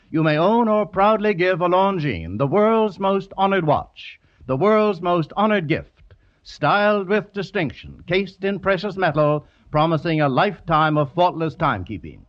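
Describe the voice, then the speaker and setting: A slightly dull old radio broadcast carries an American male announcer. His voice is fairly high and very lively in its rise and fall. He reads scripted ad copy in formal, polished, emphatic phrases. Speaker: commercial announcer. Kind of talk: scripted mid-century radio advertisement.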